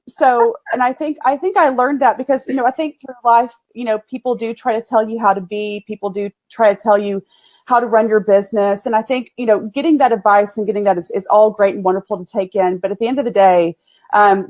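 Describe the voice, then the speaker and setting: A woman in her forties with an East Texas accent, a guest in a casual interview chat, folksy and quick to laugh. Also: medium pitch, fairly even intonation, darkish tone. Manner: laughs often, folksy and upbeat, restarts phrases. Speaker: guest, agency owner.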